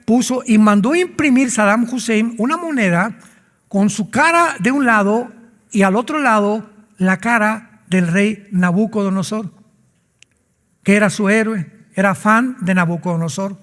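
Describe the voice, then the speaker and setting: A man's voice: high-pitched, measured pace, a bit bright, long reverb tail. A man preaching a sermon as a pastor, emphatic and full of rhetorical questions.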